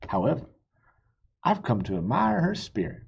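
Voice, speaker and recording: A man voicing a gruff, folksy, plainspoken cowboy type in a children's storybook. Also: mid-range voice, wildly swooping pitch, moderate pace, highs cut off, full character voice. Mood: admiring, declaring.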